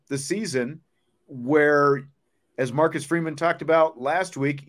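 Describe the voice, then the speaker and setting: Male sports talk host, somewhat high-pitched, casual and rambling, tends to restate points. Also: a bit bright.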